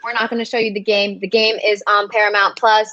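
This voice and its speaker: A woman's voice: medium pitch, little pitch movement, a little fast, bright, forceful tone, muffled recording. Chatty female co-host, upbeat, reads out stats. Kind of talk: casual football watchalong chat.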